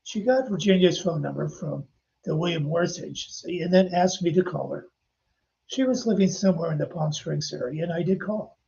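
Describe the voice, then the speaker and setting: An older man tells anecdotes in a chatty podcast style, turning to his listeners now and then. His voice is heavily gravelly and mellow, a little high for a man, and the recording sounds dull and lo-fi. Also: brisk. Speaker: podcast host and author. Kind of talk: casual storytelling narration.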